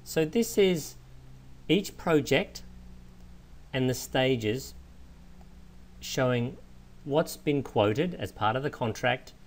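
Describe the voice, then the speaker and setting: A man giving a methodical, explanatory software walkthrough in the manner of a trainer. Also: medium pitch, slow pace, long pauses. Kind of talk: instructional screen walkthrough narration. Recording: clear steady background noise.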